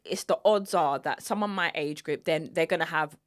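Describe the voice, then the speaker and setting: A woman with a London accent, speaking as an interview guest in a relaxed, candid way that often trails off. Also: low-pitched, normal speed. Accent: London English. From East London. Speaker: guest, filmmaker and single mother. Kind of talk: casual conversational interview.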